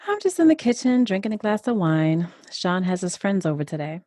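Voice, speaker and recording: A woman's voice: mid-range voice, sing-song, a little fast, dark tone, dry recording, clean studio sound, natural voice. A woman acting in an audio drama as a coy, hesitant wife carrying on a secret affair. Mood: affectionate.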